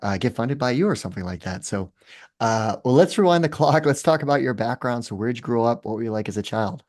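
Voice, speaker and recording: A man's voice: mid-range voice, lively intonation, a little fast, darkish tone. A man hosting a podcast, asking conversational questions. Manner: conversational, curious. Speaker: podcast host. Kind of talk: interview questions.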